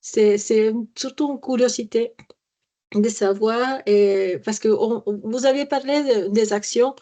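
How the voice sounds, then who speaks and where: A woman moderating a conference panel who asks her questions haltingly, searching for words. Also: on the high side, dark tone.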